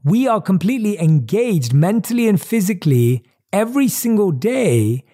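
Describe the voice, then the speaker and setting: A man giving a motivational talk, telling a reflective story and slowing down and stretching words to stress them. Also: high voice, big pitch swings, warm tone. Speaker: host.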